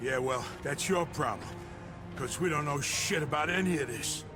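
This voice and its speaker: An actor playing a crude, defiant mobster in a video game. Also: male voice, on the high side, moderate pace, over music, natural voice. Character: brash male mobster, foul-mouthed.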